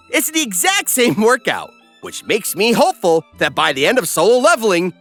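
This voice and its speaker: A man narrates a comedic recap from a script. His delivery is wisecracking and animated, with a bright, forceful tone and lively swings in pitch over faint music. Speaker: narrator, host.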